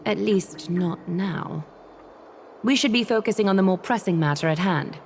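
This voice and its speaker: An actress playing a commanding female demigod ruler in a video game, speaking in a formal, measured, solemn way. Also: medium pitch, vocal fry, slight background noise, lightly acted. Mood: composed, firm. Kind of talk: scripted video game acting. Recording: music behind the voice.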